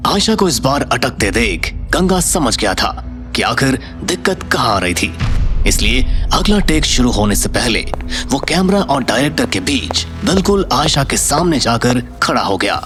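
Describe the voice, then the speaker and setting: A man's voice: medium pitch, big pitch swings, normal speed, music in the background. A man narrating a scripted audio story in a dramatic storytelling style.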